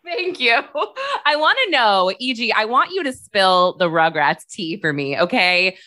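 This is a young woman hosting a podcast, animated and gushing, and she swears casually as she talks. Her voice is bright and forward, and her pitch swings widely. The sound is dry and close. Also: unhurried, dry recording. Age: young adult. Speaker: podcast co-host. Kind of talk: casual interview chat.

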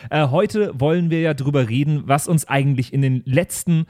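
A man's voice: a little high, normal speed, darkish tone, no echo, studio quality. A man speaking as a podcast host in casual, chatty style.

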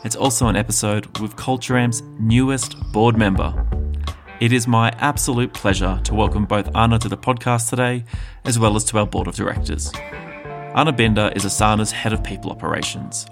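Male podcast host, lower register, relaxed conversational interviewer. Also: a little fast, creaky, over music. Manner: friendly, conversational, thinks aloud.

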